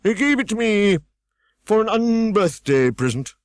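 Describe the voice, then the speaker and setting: Storybook role: a boastful, prickly egg-man, done by a man with a high, loud, swooping voice that is very dry. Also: big pitch swings, no room sound, full character voice. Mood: lecturing. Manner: grand, boastful, quick to take offence. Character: pompous touchy egg man on a wall.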